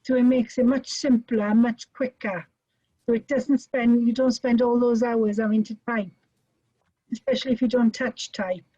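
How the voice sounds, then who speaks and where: A woman's voice: a little high, fairly even intonation, moderate pace, mellow, breathy edge, no room sound. A woman with a Welsh accent, presenting in an online webinar and giving practical tips in a chatty way. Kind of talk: online teaching chat. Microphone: video call. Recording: muffled video call audio.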